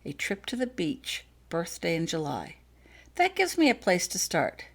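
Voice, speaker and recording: A woman voicing a kind, gentle man visiting a sick child in a storybook, speaking in a reassuring way. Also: lowish voice, huge pitch swings, a little slow, vocal fry, full character voice. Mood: musing. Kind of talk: storybook character dialogue.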